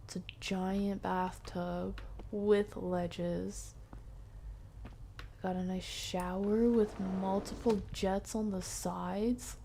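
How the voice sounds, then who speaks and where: A woman streaming a game, chatting playfully with viewers and often dropping into hushed whispered asides. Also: mid-range voice, level tone, very slow, vocal fry, a little hiss or hum. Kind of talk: casual live-stream chat while playing.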